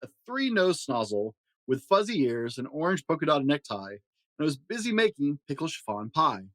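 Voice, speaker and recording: A man narrating a children's storybook aloud with expressive delivery. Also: fairly high pitch, normal speed, no room sound. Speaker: storyteller and host.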